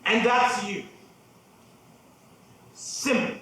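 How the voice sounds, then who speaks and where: A woman's voice: mid-range voice, very slow, pushed and bright. A woman playing a skeptical, scornful middle-aged mother in a stage drama, dry and cutting. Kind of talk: theatrical stage acting. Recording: very echoey, noisy room, far mic.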